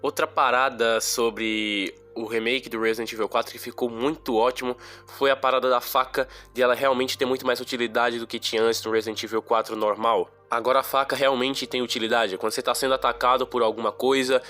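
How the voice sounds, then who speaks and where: A man's voice: medium pitch, fairly even intonation, fast talker, few pauses, pushed and bright, soft background music. A young man with a Brazilian accent, nasal and stuffed up from a cold, giving a slangy, rambling casual review of a game on his channel. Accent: Brazilian Portuguese. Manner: slangy, chatty, rambling asides. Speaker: gaming YouTuber.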